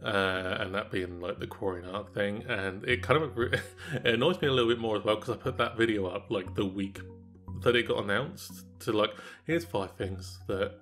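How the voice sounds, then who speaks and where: A man's voice: lowish voice, moderate pace, fairly bright tone. A young man co-hosting a casual gaming chat, nasal from a coming cold, rambling with lots of fillers. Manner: rambling, filler-heavy, disappointed. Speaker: gaming channel co-host. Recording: faint background music.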